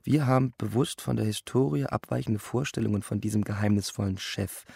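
A man's voice: on the low side, fairly quick, vocal fry, mellow, husky, dry recording, studio quality. A man reading literary stage directions as a narrator.

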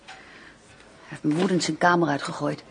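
Creaky-voiced, earnest, troubled woman. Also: low voice, steady tone, normal speed. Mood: troubled, hesitant. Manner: earnest, hesitant. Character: loyal, earnest, troubled woman confiding in an agent. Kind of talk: radio play acting.

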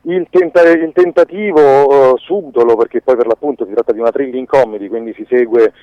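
A man giving a conversational radio interview as a filmmaker, haltingly searching for words and restarting phrases. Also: medium pitch, no echo. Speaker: documentary filmmaker being interviewed. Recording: dull lo-fi radio sound, dry.

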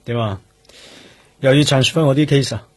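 A man acting in a Cantonese radio drama as a stubborn, brooding police detective, with a husky voice and Hong Kong accent. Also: mid-range voice, moderate pace, no room sound, natural voice. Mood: stubborn, cool.

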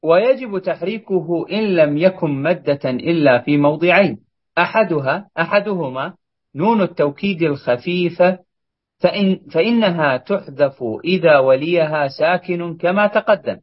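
A man with a high voice reads out a passage from a grammar text, stumbling now and then and starting over. He sounds farther from the mic than the teacher, and the sound is dull and muffled. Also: moderate pace. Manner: reads steadily, with small slips and restarts. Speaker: student. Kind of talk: reading a grammar text aloud.

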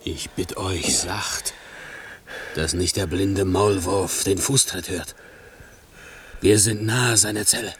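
Husky, low, furtive monster. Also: male voice, slow, long pauses, heavily acted. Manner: hushed, cautious, pleading. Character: furtive, scheming brutish monster. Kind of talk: theatrical German radio play verse.

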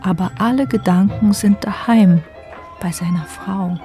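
A woman narrating a scripted radio play in a measured, wry way. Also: medium pitch, dark tone, soft background music, natural voice.

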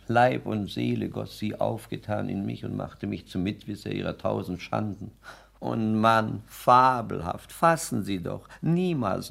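An actor playing a pompous, self-absorbed poet who declaims in grandiloquent phrases, in the manner of a 1960s German radio play. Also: male voice, fairly low pitch, gravelly. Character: pompous, rapturous male poet lodger, high-flown and self-absorbed.

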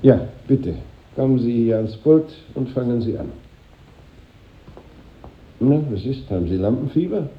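An actor playing a formal, probing, faintly amused schoolmaster in a 1950s German radio drama. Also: male voice, mid-range voice, lively intonation, measured pace, long pauses, natural voice. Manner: formal, prodding questions. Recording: echoey, dull, noisy old radio recording.

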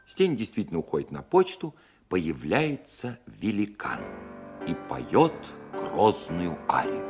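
An actor playing a grown composer who recalls his childhood opera in a radio play, narrating in a wry, expressive way. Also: fairly low pitch, slow pace, heavily acted. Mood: amused recounting. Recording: 1970s studio record with prominent music.

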